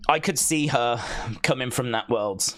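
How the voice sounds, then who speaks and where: A male livestream host talks in a loose, rambling way, as in a casual watch-along. He has a mid-pitched voice and drops in joking, deadpan asides as he chats with his viewers. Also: a little slow.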